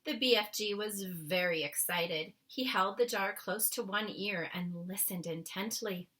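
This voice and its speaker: A woman reading a children's book aloud as narrator, with dramatic, expressive phrasing. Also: medium pitch, unhurried. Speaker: storybook reader.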